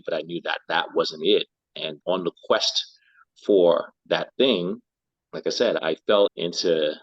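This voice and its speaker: A man's voice: low-pitched, slightly rough, dry recording, dull audio. A middle-aged man from Liberia, a health and lifestyle coach, answering interview questions thoughtfully and often repeating words as he searches for them.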